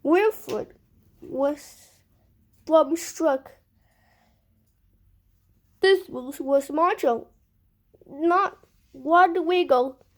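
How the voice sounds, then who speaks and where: A woman reading a children's picture book aloud in a deliberate way, pausing between short phrases. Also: high-pitched. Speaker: storybook reader.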